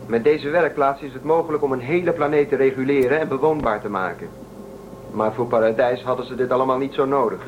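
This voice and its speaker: A man's voice: medium pitch, fast talker, narrow, tinny audio, a little hiss or hum, natural voice. A man playing a defiant, suspicious spaceship crewman in a radio play, urging and persuading. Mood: insistent. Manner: urgent, persuasive, suspicious of authority.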